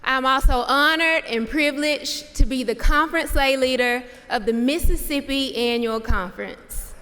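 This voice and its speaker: A woman's voice: high-pitched, normal speed, sharp tone. A young adult woman, a church lay leader, opening a formal address with welcoming introductions. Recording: long reverb in a large hall.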